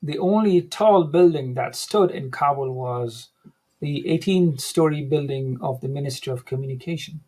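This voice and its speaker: A middle-aged man from Afghanistan, speaking as an interview guest, recalling his past in a measured, reflective way with frequent restarts. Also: a little high, mellow, husky, poor audio. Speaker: guest, academic center director. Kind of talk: interview, personal recollection.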